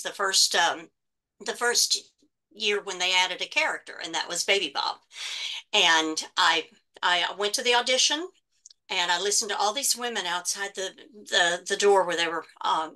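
A woman's voice: mid-range voice, low-quality recording. A middle-aged woman with a Texan accent, chatting and telling stories as a guest on a video call. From Texas, USA. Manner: chatty, animated, laughs easily. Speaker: guest actress. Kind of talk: casual interview storytelling.

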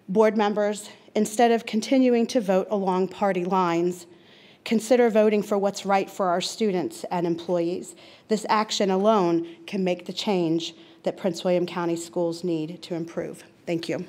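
A middle-aged woman speaks at a mid pitch, reading her prepared comment with earnest, measured emphasis. She stresses each item in her lists. Her voice comes through a microphone in a large board meeting room. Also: darkish tone. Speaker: teacher giving public comment. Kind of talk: reading a prepared statement at a podium. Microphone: seated at a desk mic.

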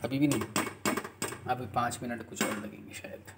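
A man's voice: medium pitch, fairly even intonation, moderate pace, noisy background. A man casually talking viewers through cooking in a small home kitchen, explaining each step as he goes. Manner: chatty, explains steps to viewers as he cooks. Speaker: vlogger.

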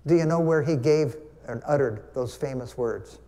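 A man's voice: mid-range voice, normal speed, mellow. An older man giving a conversational, teacherly church talk, asking his congregation questions as he goes. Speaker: church speaker, veteran. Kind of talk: Veterans Day sermon talk with questions to the congregation. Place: large church sanctuary, standing before pulpit. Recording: long hall reverb.

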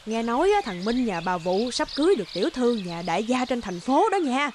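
A woman's voice: on the high side, very animated, fairly quick, noisy background. An actress voicing a gossipy, opinionated village woman in a cartoon. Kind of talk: cartoon dialogue acting.

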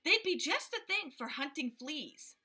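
A woman's voice: high voice, very animated, measured pace, sharp tone, pitched above the performer's own voice, full character voice. A woman voicing a polite, cheerful young male explorer in a storybook reading.

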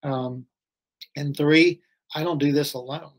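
A middle-aged school head answers as a podcast guest over a video call. His voice sits a little high, and he speaks thoughtfully, often restarting his phrases. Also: fairly even intonation, slow. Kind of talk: casual interview chat. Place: home or office, video call.

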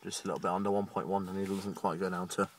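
A man's voice: sits low, little pitch movement, racing, far from the mic, slight background noise. A British man giving a casual, matter-of-fact, rambling video update, recorded inside a parked car. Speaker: amateur radio hobbyist making a video update.

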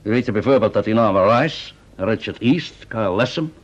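An actor with a Netherlands Dutch accent playing a doubtful, probing senior police chief in an old radio play, formal and deliberate. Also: male voice, fairly low pitch, animated, slightly rough. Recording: dull, muffled old radio recording.

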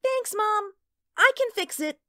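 A woman's voice: extremely high pitch, animated, measured pace, bright, forceful tone, dry recording, studio quality, exaggerated voice. A woman voicing a busy, eager little girl in a radio drama.

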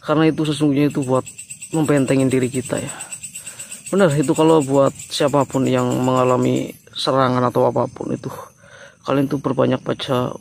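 A man's voice: medium pitch, little pitch movement, fairly quick, gravelly, long pauses, darkish tone. An Indonesian man with a cold, speaking as a night-time vlogger and reciting prayers outdoors. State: has a cold and flu, feeling weak. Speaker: ghost-hunting vlogger, live streamer. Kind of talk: casual vlog talk with murmured prayers. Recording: outdoor night insect noise. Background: crickets and insects.

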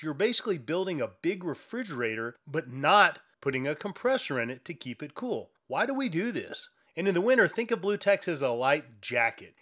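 A man narrates a product walkthrough in an upbeat, salesy way. His voice sits a bit high and swings widely in pitch as he explains. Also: fairly bright tone. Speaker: company presenter.

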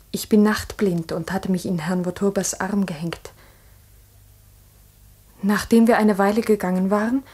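An actress playing an earnest, troubled village schoolteacher in a 1960s radio drama, thoughtful and restrained, with a soft husky voice. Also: female voice, medium pitch.

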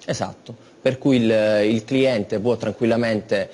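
A young man in a TV studio answers the host's questions about his company. He talks eagerly, restarting phrases and filling pauses. His voice is an ordinary mid pitch. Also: steady tone, mellow. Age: young adult. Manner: eager, many restarts and fillers. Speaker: company representative guest.

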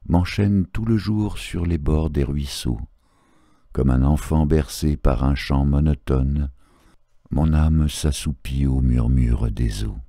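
A man reciting poetry in a solemn literary style, measured and phrase by phrase, with pauses. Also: very deep voice, gravelly, warm tone, no room sound, studio quality. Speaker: poetry reader.

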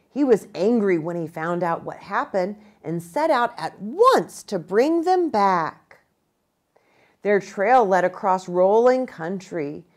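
A middle-aged American woman reading a storybook aloud to children in an expressive, deliberate way, pausing within phrases. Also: a little high. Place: small indoor room with bookshelf and armchair.

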